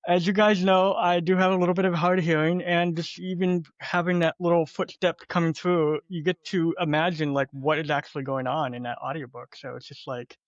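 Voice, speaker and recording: Higher-voiced man on a dull lo-fi mic. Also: little pitch movement, fairly quick, hardly any pauses, dry recording. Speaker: podcast panelist. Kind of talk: casual chat.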